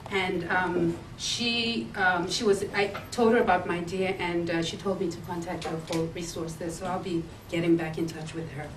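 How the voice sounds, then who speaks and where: Woman from Zambia pitching, echoey and distant. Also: steady tone, normal speed, hardly any pauses. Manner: hesitant, many ums and uhs. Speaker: entrepreneur pitching a business. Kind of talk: business plan pitch. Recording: echoey room, mic far off, faint hiss.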